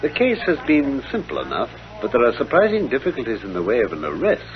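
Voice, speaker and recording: An actor playing a brisk, confident, analytical detective in an old-time radio drama, speaking precisely and with authority. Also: male voice, mid-range voice, huge pitch swings, soft background music. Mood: intent, analytical. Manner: precise, clipped, authoritative deduction. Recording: dull vintage radio recording.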